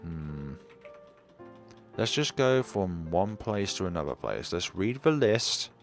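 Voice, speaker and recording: Very deep, slow-talking man. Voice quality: naturally deep voice. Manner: relaxed, muttering to himself while playing. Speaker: streamer. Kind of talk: casual live-stream gameplay chat. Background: faint game music.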